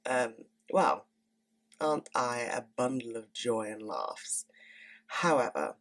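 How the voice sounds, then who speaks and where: A woman's voice: a bass voice, very animated, slow. A young woman in her twenties hosting a podcast in casual, candid chat, swearing freely and sounding stuffy from a cold. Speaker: podcast host.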